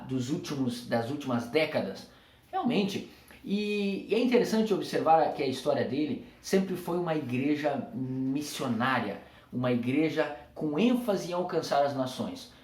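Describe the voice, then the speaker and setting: An earnest Brazilian man speaks to camera with a Brazilian Portuguese accent, leaning into emphatic, repeated phrases like a preacher. His voice sits fairly high for a man. The room gives it a noticeable echo. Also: a little mellow. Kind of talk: reflective tribute talk to camera.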